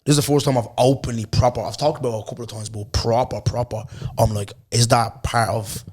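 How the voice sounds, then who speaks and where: Hoarse man, chatty podcast host. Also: medium pitch, quick pace. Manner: loose, animated storytelling with lots of slang and repeated "bro". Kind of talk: casual chat.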